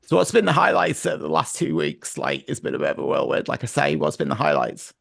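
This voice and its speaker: A man interviewing a band over a video call, chatty and upbeat with a slightly croaky voice and frequent laughs. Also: a little high, huge pitch swings, a little fast, fairly bright tone, no echo. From Montreal-based.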